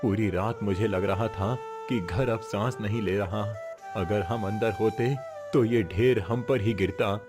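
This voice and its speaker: A man's voice: lowish voice, unhurried, music in the background, voice pushed down, close to an everyday voice. A man acting a poor, weary but resolute village father, earnest and comforting, in a cartoon moral story. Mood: solemn, reassuring.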